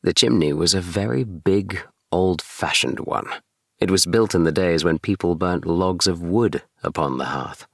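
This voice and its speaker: A man narrating a bedtime audiobook in a gentle, soothing storytelling manner. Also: low-pitched, measured pace, vocal fry, dry recording, studio quality. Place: small DIY recording booth.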